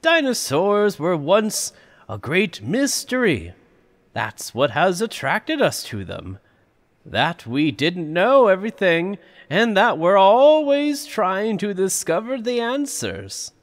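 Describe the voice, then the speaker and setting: A man's voice: sits high, huge pitch swings, slow pace, exaggerated voice. A man voicing an elderly, proud park owner who speaks in a grand, enthusiastic, showman's manner. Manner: grand, enthusiastic, lingering delivery. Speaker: fan voice actor. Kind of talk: fan audio drama acting.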